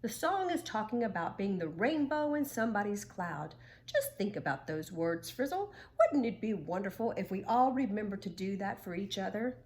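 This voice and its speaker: A woman's voice: medium pitch, big pitch swings, normal speed, few pauses, a little mellow. A woman voicing Mister Owl, a wise, kindly older male owl who explains things patiently in a children's story.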